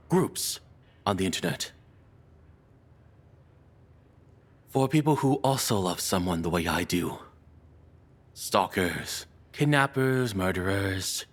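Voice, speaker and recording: A man performs a lovestruck, unhinged yet apologetic male captor in an intimate audio drama. His voice sits low and is very breathy and rough-edged. He rambles and stammers nervously, his intonation swinging widely as he goes. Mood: obsessive, lovestruck. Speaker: audio roleplay voice actor.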